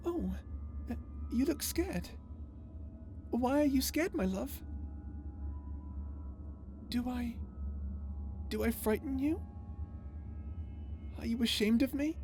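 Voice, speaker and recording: An unhinged space villainess with a high voice and slow, halting delivery. She is lovesick, vengeful and tormented, theatrical in every phrase, in an ASMR audio drama with music underneath. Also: darkish tone. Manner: theatrical, halting, swinging between tender menace and anguish.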